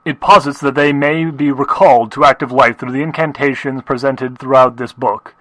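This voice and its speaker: A muffled old-record voice of an older pony stallion , acted by a man. He is scholarly and measured, with a slight upper-class accent. The sound is dry, with a middling male pitch, as he reads grave research notes in an audio drama. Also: steady tone, fairly bright tone, dry recording. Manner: formal, measured, pedantic. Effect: muffled old record playback.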